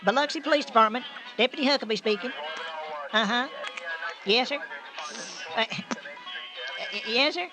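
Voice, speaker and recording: A man playing an excitable, folksy small-town deputy with a Southern US accent, in radio comedy style. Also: very high voice, normal speed, long pauses, music in the background, low-quality recording. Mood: amused, folksy. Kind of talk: old-time radio comedy acting.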